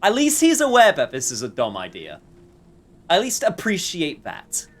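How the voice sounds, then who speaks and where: Man livestreamer with lively, swinging intonation. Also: high-pitched, moderate pace. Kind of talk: casual game livestream commentary.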